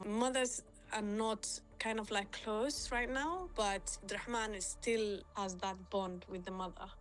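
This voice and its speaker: A woman's voice: mid-range voice, measured pace. Conversational woman correspondent. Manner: conversational with fillers. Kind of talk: reporter recounting a story.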